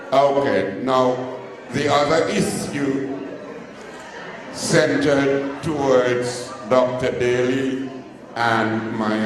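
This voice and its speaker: A man, jovial and chuckling as he puts questions to the head table at a public meeting. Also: medium pitch. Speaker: audience member asking questions. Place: large public meeting hall. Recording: echoey hall with long reverb.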